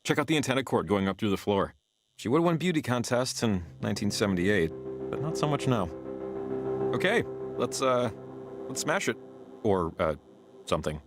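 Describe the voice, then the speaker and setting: An actor playing an affable, slightly timid sidekick in a video game, talking casually and naturally, with hesitant trailing-off phrases. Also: male voice, medium pitch, sing-song, normal speed, long pauses. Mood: excited, then sheepish. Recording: music clearly behind the voice.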